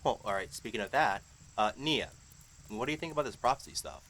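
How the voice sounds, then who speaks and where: A young man playing an awkward, eager teenage high schooler in a fantasy audio drama, talking in a halting, casual, flustered way. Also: very animated, a bit bright, exaggerated voice.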